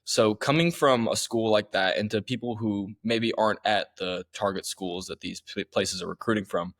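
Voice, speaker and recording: A man's voice: lowish voice, moderate pace, fairly bright tone, no room sound, clean studio sound. A young man hosting a podcast, reading an introduction and then asking eager, upbeat questions. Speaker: podcast host.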